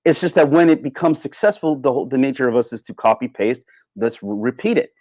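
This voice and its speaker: A man's voice: mid-range voice, animated, fast talker, a little mellow, dry recording. Man rambling casually, dry close sound. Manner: rambling, repeats phrases for emphasis. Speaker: livestream host. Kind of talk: casual unscripted livestream rant, reading and answering chat.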